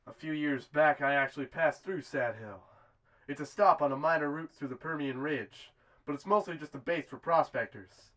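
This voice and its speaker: An actor playing the calm, menacing leader of a western gang on a pursuit, casual and controlled. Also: male voice, fairly high pitch, moderate pace, natural voice, low-quality recording. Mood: measured. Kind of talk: amateur western film acting.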